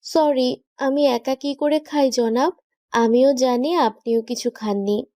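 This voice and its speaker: A woman's voice: high voice, fairly even intonation, slow, dark tone, no room sound, clean studio sound. A woman playing an anxious, affectionately bossy young woman in love in a romantic audio story, pleading and insistent.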